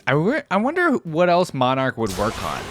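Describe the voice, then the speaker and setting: A man hosting a casual, unscripted reaction video, commenting in a halting, conversational way as he thinks aloud. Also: on the high side, wildly swooping pitch, a bit bright. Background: background music.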